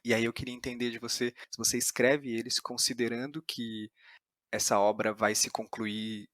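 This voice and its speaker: A Brazilian man speaks as a podcast host and interviewer, with a middle-pitched voice. His delivery is reflective and exploratory, thinking his way through ideas. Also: little pitch movement, moderate pace, a bit bright, no echo, clean studio sound. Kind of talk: reflective narration and interview questions.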